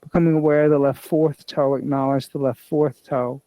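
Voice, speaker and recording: A man leading a guided yoga nidra meditation as an instructor, speaking in measured, repetitive instructional phrases. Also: medium pitch, fairly even intonation, mellow, no echo.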